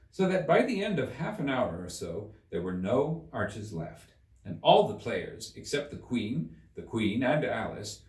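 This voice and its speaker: A man giving an expressive scripted narration of a story as its reader. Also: medium pitch. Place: room inside a museum. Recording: echoey room, distant mic.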